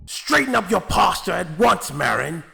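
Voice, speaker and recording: A man's voice: high voice, very animated, moderate pace, vocal fry, bright, forceful tone, faint music behind, exaggerated voice. A man playing a stern, hot-tempered Saiyan warrior mentor in an anime fan drama, harsh and commanding. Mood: angry. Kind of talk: fan-made anime roleplay voice acting.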